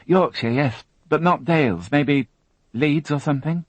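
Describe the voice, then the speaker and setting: A man voicing a frightened young woman who witnessed a crime, tearful and halting, repeating her words as she talks. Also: fairly high pitch, no echo, pitched above the performer's own voice. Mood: shaken.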